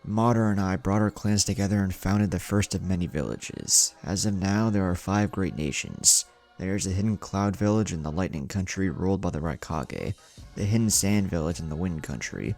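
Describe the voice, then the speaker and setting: A man voicing a calm male ninja leader who explains things in a measured way in a story. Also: deep voice, gravelly. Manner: measured, informative. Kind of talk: fan fiction story reading.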